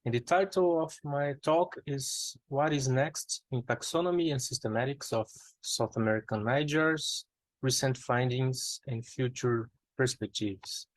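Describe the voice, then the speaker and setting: Slow, careful Brazilian man presenting. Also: mid-range voice, no echo. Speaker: ornithologist presenter. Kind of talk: prepared conference talk.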